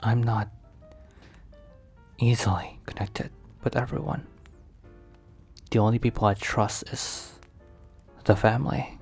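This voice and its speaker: A guarded but softening mafia boss , played by a man in an intimate ASMR roleplay. His voice is low and full of gravelly fry, confiding and slow, turning breathy at times. Soft music plays behind him. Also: steady tone, full character voice.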